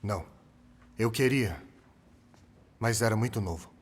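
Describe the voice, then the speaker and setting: A guarded, husky witness. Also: male voice, on the low side, measured pace, long reverb tail. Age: 40s. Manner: terse, guarded, reluctant answers. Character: tight-lipped bitter man in his forties. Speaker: witness in a trial. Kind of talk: dubbed film courtroom drama.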